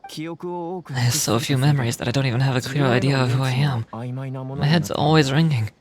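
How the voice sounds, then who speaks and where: A woman voicing a weary, humble man who has lost his memory, speaking haltingly and earnestly in video game dialogue. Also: extremely low pitch, a little mellow, pitched below the performer's own voice. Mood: weary, grateful. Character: weary amnesiac man, escaped experiment captive, humble and earnest. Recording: game music behind.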